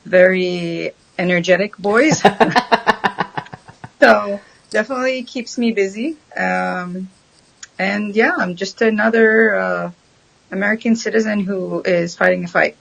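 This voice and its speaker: A woman, a guest answering questions thoughtfully, with many pauses and ums. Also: medium pitch, creaky, a bit bright, low-quality recording. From Syria. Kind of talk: casual interview chat.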